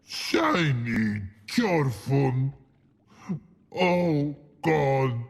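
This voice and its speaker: Gravelly, dim-witted troll. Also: male voice, mid-range voice, expressive, extremely slow, heavily acted. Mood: agitated, simple. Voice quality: gravelly, rough. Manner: simple broken speech, laboured. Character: big dim childlike troll, broken speech, distressed. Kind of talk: video game voice acting.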